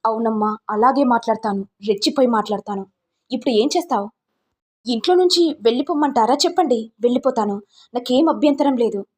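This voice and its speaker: A man's voice: extremely high pitch, animated, fairly quick, darkish tone. A woman voicing an angry, defiant adult son in a story, ranting and accusing. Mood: defiant anger.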